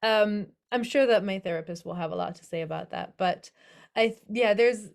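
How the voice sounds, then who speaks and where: A woman's voice: medium pitch, normal speed, creaky, darkish tone. Hesitant, thoughtful woman author. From Netherlands. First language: English as first language, also Hebrew and Dutch. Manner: thoughtful, hesitant, many fillers and restarts. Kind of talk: casual interview conversation.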